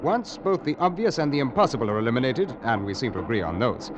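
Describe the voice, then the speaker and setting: A man's voice: medium pitch, animated, noisy background, lightly acted. Methodical police inspector, played by a man, old-time radio. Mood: resolute. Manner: measured, deliberate, logical. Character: methodical, calm male police inspector narrating his case. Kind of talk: 1940s-50s radio drama acting. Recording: vintage radio drama with music behind.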